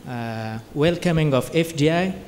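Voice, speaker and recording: A man's voice: a little high, a little slow, darkish tone. A young man from Afghanistan, an economics student, asking a question from the audience with many hesitant fillers. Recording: reverberant hall, faint background noise.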